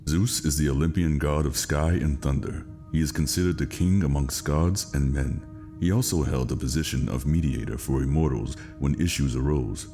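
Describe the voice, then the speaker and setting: A man's voice: rumbling low, moderate pace, dark tone, faint music behind. A man acting a composed military operative under questioning, precise and formal in his words. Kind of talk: screen drama acting.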